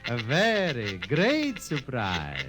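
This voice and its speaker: An actor playing a courteous, formal, slyly sinister dollmaker in a 1950s radio drama. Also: male voice, mid-range voice, wildly swooping pitch, very slow, music in the background, full character voice. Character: smooth-talking sinister male dollmaker.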